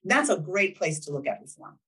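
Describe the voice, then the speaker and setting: A woman's voice: on the low side, sing-song, brisk, fairly bright tone, slightly rough. A middle-aged woman answering interview questions over a video call, upbeat and conversational, explaining with figures. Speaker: guest, state official. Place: home room, video call.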